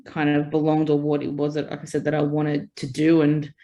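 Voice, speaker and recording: A woman's voice: low voice, monotone, brisk, mellow, muffled recording. An Australian woman interview guest, telling her own story in a reflective way with frequent "you know" and "like". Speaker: guest, festival founder. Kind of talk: casual interview chat.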